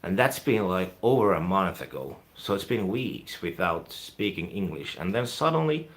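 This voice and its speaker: A man from Finland with a Finnish accent, hosting his own live stream and rambling casually, often trailing off quietly. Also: deep voice, sing-song, normal speed. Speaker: YouTube channel host.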